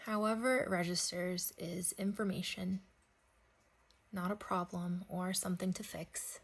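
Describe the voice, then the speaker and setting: A woman leading a guided sleep meditation, speaking gently in unhurried phrases with long pauses. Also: lowish voice, fairly even intonation, slightly rough.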